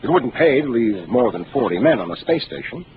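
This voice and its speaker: An actor playing a calm, seasoned starship captain who speaks in a measured, authoritative way, in a 1950s radio drama. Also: male voice, mid-range voice. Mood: explaining. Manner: measured, authoritative, explaining patiently. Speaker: ship captain. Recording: hissy narrow 1950s radio broadcast.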